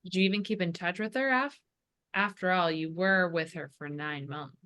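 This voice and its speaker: A woman's voice: on the low side, normal speed, fairly bright tone, close to an everyday voice. A woman reading the part of a sassy housemate at a table read, teasing and pointed as she eggs others on. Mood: prying. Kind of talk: informal script table read.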